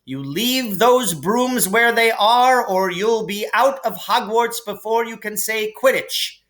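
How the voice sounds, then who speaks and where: A man voicing a strict, brisk woman flying instructor who barks orders, in a children's storybook reading. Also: sits high, fairly bright tone, pitched above the performer's own voice. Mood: stern warning. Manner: commanding, barking orders.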